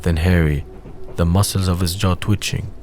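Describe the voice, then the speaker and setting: Deep-voiced man narrating an audio drama. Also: steady tone, normal speed, darkish tone. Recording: faint background noise.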